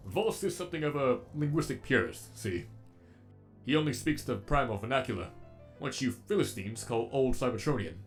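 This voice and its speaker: A man's voice: mid-range voice, animated, moderate pace, soft background music, natural voice. A man voicing a sadistic, erudite robot villain with an eloquent, menacingly polite delivery, as in a comic dub. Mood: mocking, menacing.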